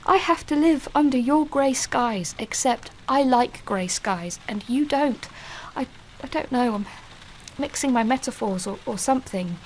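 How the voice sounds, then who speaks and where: An actress playing a young woman ending her engagement, halting and apologetic in a radio drama. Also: sits high, expressive, low-quality recording. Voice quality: halting, soft-spoken, full of restarts. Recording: faint rain noise behind. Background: rain.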